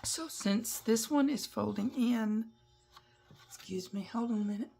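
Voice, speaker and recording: A slightly croaky woman walks through a craft project step by step, chatty and unhurried. Her voice sits a bit high, and she takes her time between phrases. A faint hiss runs under the recording. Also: mellow. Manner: chatty, instructive, talks while working with hands. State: croaky, clearing her throat. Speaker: papercraft tutorial host. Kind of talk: casual step-by-step craft demonstration.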